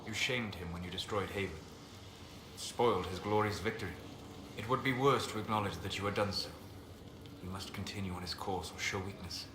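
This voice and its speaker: Male actor as calm elven scholar, low voice, formal, precise, reserved, fantasy game dialogue, echoey with background noise. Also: close to an everyday voice. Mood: grave, analytical. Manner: measured, formal, precise phrasing. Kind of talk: video game dialogue acting. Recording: roomy echo, clear background noise.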